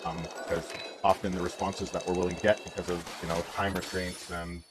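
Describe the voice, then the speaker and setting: A man's voice: deep voice, level tone, fairly quick, noisy background. A man co-hosting a casual livestream, talking reflectively and often searching for his words. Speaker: livestream co-host.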